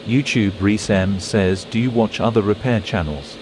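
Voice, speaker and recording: Low synthetic male chat-reading voice. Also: normal speed, noisy background. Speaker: text-to-speech chat reader. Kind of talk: synthetic reading of viewer messages.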